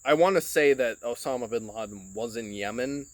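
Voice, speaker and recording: A man's voice: medium pitch, normal speed, no echo. A man from Michigan in a casual, rambling monologue, recorded on his phone, swearing often and filling his talk with "like" and "you know". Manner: rambling, profane, heavy filler words. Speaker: podcast host.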